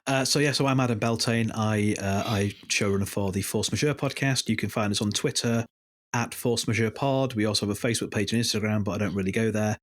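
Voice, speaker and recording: Low-voiced man, earnest podcast guest. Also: fairly even intonation, fairly quick, no echo, clean studio sound. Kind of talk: casual podcast roundtable.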